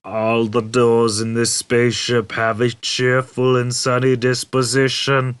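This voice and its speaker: A man acts out a robot parodying a sales brochure in a storybook reading. The voice is lifted high, slow and singsong with fake sunny cheer, recorded dry. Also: a bit bright, smooth, dry recording. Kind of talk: audiobook character voice.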